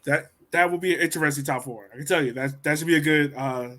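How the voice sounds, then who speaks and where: A man hosting a podcast in a casual sports chat, informal and slangy, telling things in an animated way. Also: fairly high pitch, fast talker. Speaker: podcast host.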